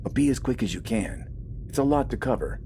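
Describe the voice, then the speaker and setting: A man's voice: medium pitch, animated, fairly quick, dark tone, a little hiss or hum, pitched above the performer's own voice, close to an everyday voice. A man voicing an earnest, uneasy young soldier in an audio story, hesitant and worried. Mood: reluctant.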